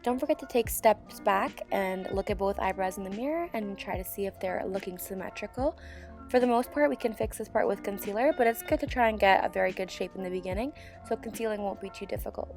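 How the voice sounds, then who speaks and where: A young woman, hoarse and sick with a sore throat, calmly talking through a beauty tutorial as a voiceover. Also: mid-range voice, fairly quick, faint music behind. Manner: calm step-by-step instruction. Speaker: beauty channel host. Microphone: voiceover mic.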